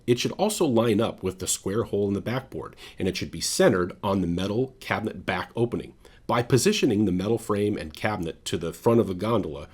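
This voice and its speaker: A man narrates a scripted how-to video in a lowish, raspy voice. His delivery is methodical and directive, taking instructions step by step, with lively movement in pitch.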